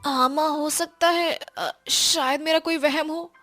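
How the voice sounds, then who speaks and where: A woman voicing a frightened teenage daughter in an animated storybook, her lines high and jumpy. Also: a little slow, soft background music. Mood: scared. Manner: high, jumpy, panicky when scared.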